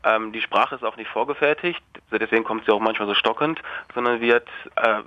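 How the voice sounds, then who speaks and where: A man's voice: fairly low pitch, a little fast, no room sound. A man speaking as an expert guest, informally explaining things over a dull phone line. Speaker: AI researcher, interview guest.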